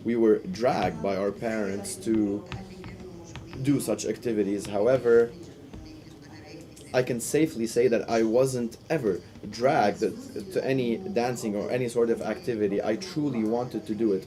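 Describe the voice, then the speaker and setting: A man interpreting a panel discussion live, in halting phrases with restarts and sudden bursts of speed. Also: mid-range voice, warm tone. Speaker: simultaneous interpreter.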